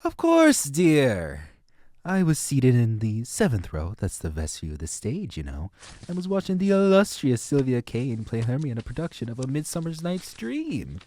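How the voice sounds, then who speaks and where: A man's voice: mid-range voice, wildly swooping pitch, a little mellow, dry recording. A man playing a smugly nonchalant fairy witness in an audio drama, speaking in a genteel, arch, theatrical way. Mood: arch, mock-delicate. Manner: genteel, arch, drawn-out phrasing.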